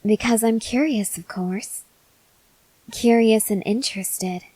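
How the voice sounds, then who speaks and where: A woman playing a possessive, softly menacing female vampire lover in an intimate, measured ASMR roleplay that slips into breathy whispers. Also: fairly high pitch, dry recording, rough recording. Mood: probing, affectionate. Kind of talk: ASMR roleplay acting, addressed to the listener.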